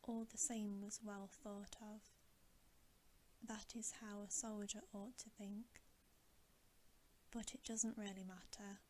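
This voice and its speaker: A woman voicing a solemn, weary male lion leader from a storybook in a breathy, measured ASMR whisper. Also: medium pitch, little pitch movement, warm tone, slight background noise, natural voice. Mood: weary, resigned. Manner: grave, measured, slow.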